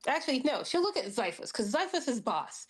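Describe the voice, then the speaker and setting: A woman's voice: high-pitched, very animated, brisk, husky. A woman playing a tabletop roleplaying game, casually narrating her character's actions in a tentative, giggly manner.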